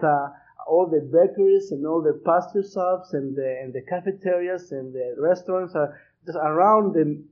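A man's voice: a little high, husky. A man with a Greek accent, a missionary giving a hesitant church appeal with many fillers and restarts. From Greece. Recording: muffled lo-fi recording.

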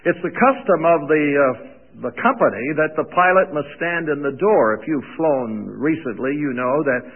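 Loud, folksy older preacher. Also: male voice, a little high, animated, moderate pace, husky. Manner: folksy, self-deprecating humor. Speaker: visiting preacher and radio Bible teacher. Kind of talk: sermon introduction with humorous stories. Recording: tinny phone-like radio sound.

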